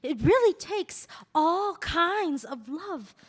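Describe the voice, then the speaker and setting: A woman reading the part of a graceful, poetic Khmer court dancer in a stage play, with a lyrical, measured delivery. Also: very high voice, big pitch swings, heavily acted. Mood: earnest, affectionate. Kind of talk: stage play table read.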